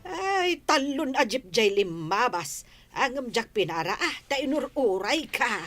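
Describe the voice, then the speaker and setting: A vain, chatty village woman in an Ilocano radio drama, acted by a woman. Her high voice swings around, unhurried and exclamatory, with an Ilocano accent. Music plays underneath. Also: very animated, fairly bright tone, heavily acted. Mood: fretful. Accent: Filipino, Ilocano. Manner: exclamatory, drawn-out interjections.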